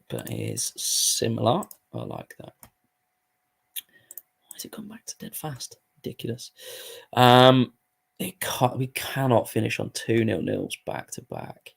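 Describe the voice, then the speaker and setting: A hoarse man giving casual, sweary commentary while playing a football management game. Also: medium pitch. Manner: swears freely, muttering asides while playing. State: hoarse, voice going. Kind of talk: casual live gaming commentary.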